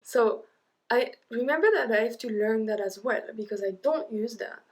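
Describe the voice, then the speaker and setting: A young woman with a French accent, teaching an online language lesson, drilling number words slowly with chatty asides. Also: on the high side. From French-speaking Europe.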